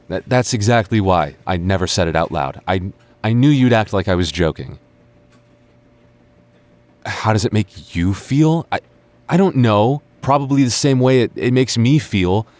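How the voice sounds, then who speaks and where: Low male voice, actor as young guy, defensive and teasing, halting stammer, secretly smitten, boyfriend audio roleplay. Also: darkish tone. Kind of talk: intimate boyfriend ASMR roleplay acting.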